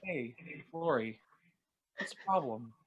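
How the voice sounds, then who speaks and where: A man playing an awkward, kindly friend in a fan-made drama, nervous, gentle and stammering, on a noisy recording. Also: mid-range voice, expressive, slow, lo-fi sound. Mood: gentle concern. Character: awkward, kindly male friend, eager to help. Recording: noisy, clicky remote-call recording.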